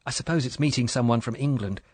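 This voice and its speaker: A man voicing a young, pretty English wife who talks in a soft, chatty, apologetic way. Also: mid-range voice, quick pace, breathy edge, dry recording, close to an everyday voice. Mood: eager.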